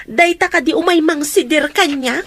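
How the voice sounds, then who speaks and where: An actress playing a demanding, ailing daughter in an Ilocano radio drama, whining and complaining. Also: female voice, sits high, moderate pace, a bit bright. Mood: whiny, aggrieved.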